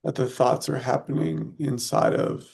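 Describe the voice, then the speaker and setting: A man leading a guided meditation in short, unhurried, soothing phrases with long pauses between them. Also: medium pitch, steady tone, vocal fry, a little mellow, slightly rough.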